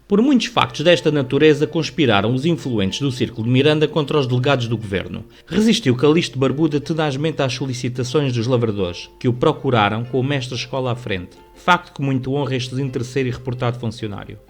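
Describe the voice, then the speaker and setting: Portuguese male narrator over soft music. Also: mid-range voice. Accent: European Portuguese. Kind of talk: scripted literary narration for radio drama.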